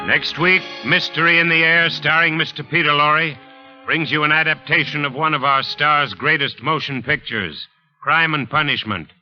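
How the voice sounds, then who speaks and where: A man's voice: fairly high pitch, pushed and bright, low-quality recording. A man announcing a program in a scripted 1940s radio style. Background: music.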